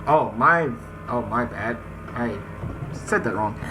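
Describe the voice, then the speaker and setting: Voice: medium pitch, lively intonation, slow, low-quality recording. A gaming host reading a visual novel aloud in a let's-play, stumbling over some words and talking straight to viewers. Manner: reads text aloud, stumbles on words, addresses viewers directly. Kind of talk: let's-play narration with casual asides. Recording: music behind the voice. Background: visual novel game music.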